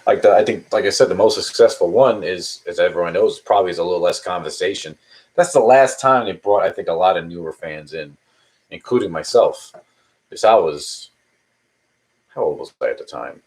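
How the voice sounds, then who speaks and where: Hesitant man in his thirties, low voice. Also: a little fast, darkish tone. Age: 30s. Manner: rambling, hesitant, with repeated restarts and fillers. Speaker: live stream host answering viewer questions. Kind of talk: casual chat.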